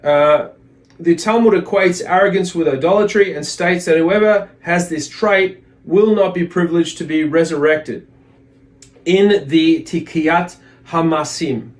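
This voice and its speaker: A man giving a religious lesson as a teacher, speaking in a deliberate, explanatory way and repeating phrases for emphasis. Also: fairly high pitch. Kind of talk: religious lesson with readings from a text.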